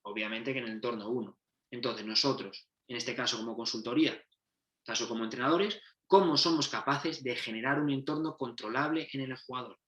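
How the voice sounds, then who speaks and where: A man's voice: medium pitch, a little fast, bright, forceful tone, dull audio. A man, a football coach giving a methodology talk, explaining step by step and often correcting himself mid-sentence. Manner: explanatory, restarts and self-corrections. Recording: roomy echo.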